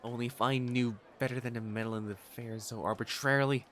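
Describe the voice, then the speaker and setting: An actor playing a proud, secretly smitten young noble matchmaker in a romantic audio drama, with haughty formal diction that turns to stammering when flustered. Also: male voice, mid-range voice, fairly even intonation, fast, a bit bright, a faint crowd, a little hiss or hum, natural voice. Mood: indignant. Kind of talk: acted romantic audio drama monologue to the listener.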